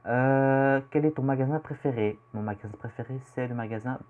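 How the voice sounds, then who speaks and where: A man's voice: mid-range voice, fairly quick, narrow, tinny audio. A teenage boy from Belgium with a Belgian French accent, casually answering questions about himself in a vlog, rambling and hesitant with lots of fillers. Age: teen. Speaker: youtuber. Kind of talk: casual self-introduction vlog.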